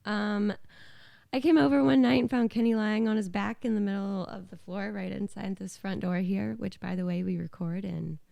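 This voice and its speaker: A woman's voice: mid-range voice, steady tone, normal speed, creaky, warm tone, no echo. A woman chatting informally on a podcast, hesitant and letting phrases trail off as she tells her side. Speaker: musician and podcast co-host. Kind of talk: casual podcast chat. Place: small home living room.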